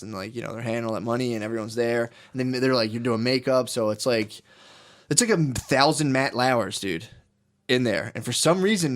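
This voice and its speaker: A man co-hosting a crude comedy podcast, swearing a lot and often saying dude, who drops low and quiet when he retells stories. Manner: profane, animated, says dude a lot. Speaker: podcast co-host.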